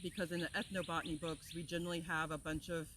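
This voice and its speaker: A woman from Florida, an herbal teacher, talking in an energetic, passionate way as she opens an outdoor class. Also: fairly low pitch, fast talker, noisy background. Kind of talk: casual talk to open an outdoor class. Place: outdoors among plants, with birds and insects.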